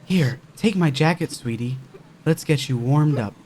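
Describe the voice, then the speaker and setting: A man's voice: fairly high pitch, a little slow, darkish tone, slight background noise, lightly acted. An actor playing a creepy, predatory man who poses as kindly in a horror audio drama. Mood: coaxing, syrupy.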